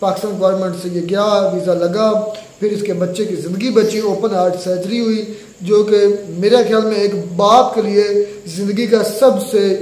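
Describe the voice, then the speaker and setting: A man with a Pakistani Urdu accent and a sore throat, hosting a reaction channel and commenting slowly, with many pauses and fillers. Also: sits high, fairly even intonation, darkish tone, echoey room, poor audio. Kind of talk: casual commentary on a video.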